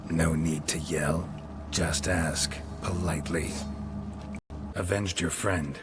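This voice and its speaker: A man playing a gruff, laconic monster hunter in a video game, terse and deadpan with a low gravelly voice. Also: slow, loud music behind the voice. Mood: cool defiance.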